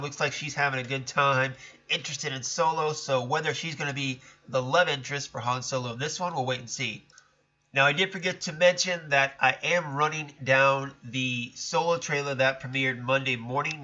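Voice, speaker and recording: A man talks through his thoughts in a loose, rambling way, often stopping to correct himself. His voice is bright and forceful, and a cold leaves it stuffed up and nasal. The sound is dull and lo-fi. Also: medium pitch. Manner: chatty, rambling, self-correcting. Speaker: podcast host. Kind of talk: casual solo commentary.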